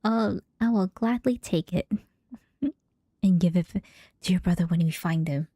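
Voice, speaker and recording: Mellow-voiced woman streaming a game casually. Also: on the low side, measured pace, no echo, clean studio sound. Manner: giggly, friendly. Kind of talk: casual livestream chat while playing. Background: game music.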